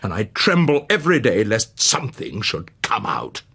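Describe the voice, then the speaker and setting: Guy doing a fired-up victorian author for radio drama, big swoopy delivery, bit husky. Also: on the low side, very animated, moderate pace, fairly bright tone, exaggerated voice. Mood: guarded, intense. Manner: theatrical, exclamatory. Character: Charles Dickens, triumphant, fiery, exuberant male writer.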